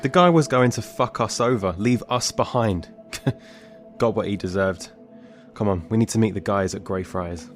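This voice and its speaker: A man's voice: fairly low pitch, moderate pace, soft background music, close to an everyday voice. A man playing a bitter, hot-tempered apocalypse survivor in an audio drama, blunt, sarcastic and confrontational. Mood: bitter, dismissive.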